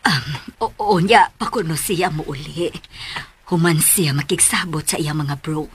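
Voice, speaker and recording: A woman's voice: low voice, measured pace, slight background noise. An actress playing a firm, worried middle-aged mother in a radio drama, with a Filipino accent. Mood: matter-of-fact.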